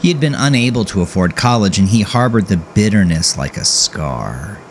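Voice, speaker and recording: A man narrating a scripted dark horror story in a grave, measured, dramatic way, drawing out his words. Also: fairly low pitch, animated, a little hiss or hum.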